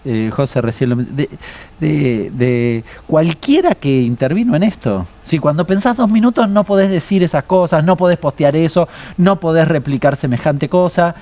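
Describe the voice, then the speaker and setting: A man's voice: fairly high pitch, moderate pace, dark tone. A man with an Argentine accent speaking emphatically as a panelist on a political talk show, repeating phrases for stress. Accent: Argentine Spanish.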